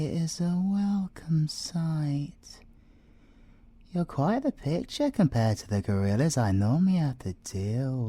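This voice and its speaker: A man's voice: a little high, dark tone, no room sound, full character voice. A British man voicing an arrogant, flirtatious male siren thief in an ASMR audio roleplay, teasing and theatrical, sometimes dropping to a whisper.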